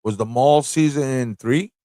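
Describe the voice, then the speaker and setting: A man's voice: medium pitch, a little slow, warm tone, no echo, studio quality. A man hosting a livestream fan chat, talking in a rambling, informal way with frequent rhetorical tag questions. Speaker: livestream talk show host.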